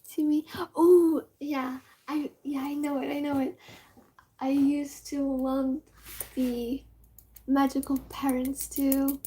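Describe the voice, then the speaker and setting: A young woman from Morocco with a Moroccan accent, Amazigh her first language, chatting casually and excitably, rambling with many fillers and dropping into whispers. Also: high-pitched, takes it slowly, low-quality recording. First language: Amazigh (Tamazight). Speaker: vlogger.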